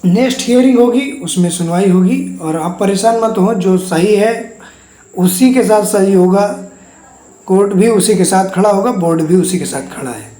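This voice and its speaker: Mellow voice in an echoey room. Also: mid-range voice, moderate pace. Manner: repetitive, reassuring. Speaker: host of an exam news channel. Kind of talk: informal news update explainer addressed to exam candidates.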